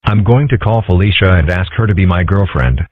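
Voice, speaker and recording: A man's voice: low-pitched, a little fast, no room sound. A man voicing a nervous, lovesick schoolboy who stammers and gets flustered. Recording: dry, phone-like lo-fi sound.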